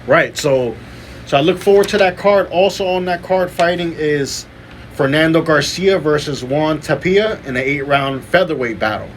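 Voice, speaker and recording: A man's voice: a little high, normal speed, a little hiss or hum. A man hosting a podcast, chatting casually as he runs through fight lineups and picks. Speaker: podcast host. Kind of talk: casual boxing podcast talk.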